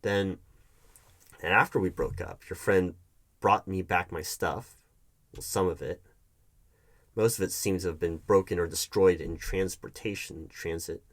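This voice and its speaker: A man's voice: sits low, fairly even intonation, a little slow, long pauses. Man acting a sarcastic, exasperated hiring manager. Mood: bitter, subdued. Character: hurt, defensive male hiring manager confronting his volatile ex-girlfriend. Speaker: roleplay performer. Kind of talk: one-sided audio roleplay acting.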